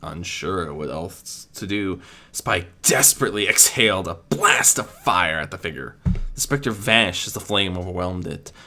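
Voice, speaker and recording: A man's voice: low-pitched, expressive, moderate pace, pushed and bright. A man reading a scripted adventure story as narrator, dramatic in delivery, with an amateur's occasional stumble.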